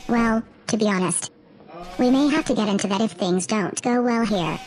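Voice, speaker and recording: Woman doing a snarky cyborg lawyer for a sci-fi audio drama, highish voice, polite but threatening. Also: normal speed, noisy background, natural voice. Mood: coolly threatening. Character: snarky, trigger-happy cyborg lawyer, easily exasperated.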